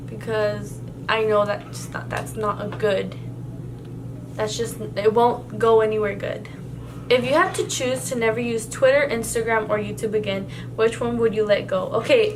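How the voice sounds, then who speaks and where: Chatty young woman vlogger, noisy recording. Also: mid-range voice. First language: Spanish. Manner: rambling, filler-heavy, lots of "like" and restarts. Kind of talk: casual Q&A tag video.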